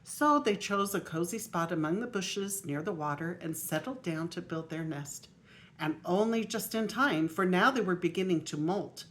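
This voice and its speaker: An older woman, a grandmother, reading a children's picture book aloud in a deliberate way with emphasized story words. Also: fairly low pitch, expressive. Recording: faint noise on homemade video.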